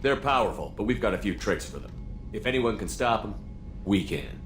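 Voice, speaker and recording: An actor playing a resolute military commander in a video game, even-tempered and plain-spoken. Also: male voice, fairly low pitch, a little fast, natural voice. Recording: noisy game ambience.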